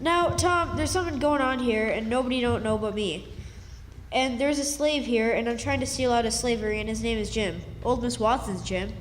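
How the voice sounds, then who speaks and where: A woman's voice: on the high side, normal speed, slight background noise, natural voice. A woman playing an earnest, scheming runaway country boy in a radio play, with folksy speech. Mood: urgent, earnest. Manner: folksy, eager. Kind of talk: stage radio drama acting. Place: live stage in a hall. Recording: reverberant hall recording.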